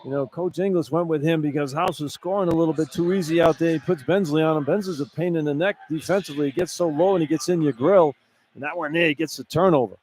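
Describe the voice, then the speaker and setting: A man's voice: on the high side, fast, darkish tone. A man giving conversational, opinionated color commentary on a live basketball radio broadcast. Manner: conversational, opinionated explanations of plays. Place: courtside in a basketball arena. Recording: clear arena noise behind the voice. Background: arena ambience.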